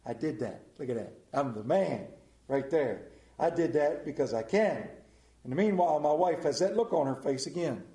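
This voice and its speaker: A middle-aged television pastor with an Appalachian accent preaches in a folksy, storytelling way and asks many rhetorical questions. His voice sits somewhat high for a man but sounds warm and mellow. The sermon carries a roomy echo. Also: expressive, normal speed.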